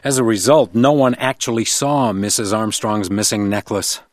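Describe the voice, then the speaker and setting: A man playing a dogged, polite hotel detective in a radio drama, measured and dry in questioning and narration. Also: lowish voice, darkish tone, no echo, lightly acted. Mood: wry, reflective. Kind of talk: radio drama dialogue and first-person noir narration.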